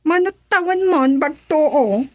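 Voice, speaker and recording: A woman playing a chirpy, boastful gardener in an Ilocano comedy radio drama, with drawn-out comic delivery. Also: sits high, animated, darkish tone, dry recording. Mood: teasing.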